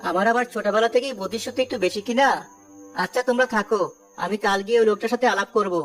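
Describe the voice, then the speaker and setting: A woman's voice: fairly high pitch, very fast, soft background music, close to an everyday voice. A woman playing a greedy, irritable village man in a children's cartoon. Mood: won over.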